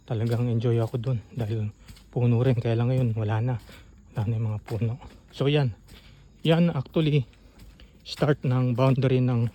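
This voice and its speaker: A man with a Filipino accent and a soft, mellow voice talks his way along outdoors. His delivery is informal and halting, like someone thinking aloud for a vlog. There is faint ambient noise around him. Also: medium pitch. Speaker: vlogger. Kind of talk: casual outdoor walk-through narration. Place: outdoors on a rural farm trail.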